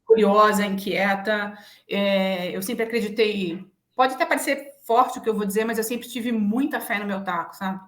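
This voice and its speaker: A woman's voice: mid-range voice, normal speed, few pauses, a bit bright. A Brazilian woman in her forties talking frankly and self-assuredly about herself as an interview guest, often checking in with short tags. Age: 40s. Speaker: interview guest, business executive. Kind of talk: conversational interview, personal reflection. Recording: roomy, echoing sound.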